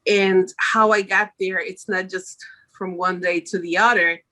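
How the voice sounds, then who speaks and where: A woman's voice: medium pitch, steady tone, unhurried, bright, forceful tone. Woman being interviewed. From Switzerland. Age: middle-aged. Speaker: podcast guest, makeup artist. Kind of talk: conversational interview answers.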